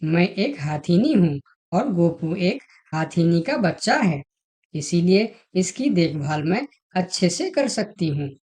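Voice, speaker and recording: Slow, mellow female fable animal played by a woman. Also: lowish voice, very animated, exaggerated voice. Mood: earnest. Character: female talking animals, fox and elephant, in a fable. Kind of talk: Hindi animated moral story dialogue.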